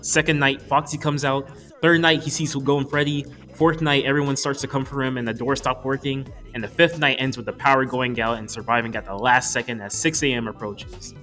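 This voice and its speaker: A young adult man delivers a casual, scripted video review with music playing behind him. His manner is joking and freely sweary, and his voice sits at an ordinary male pitch. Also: fairly even intonation, brisk, pushed and bright. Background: background music.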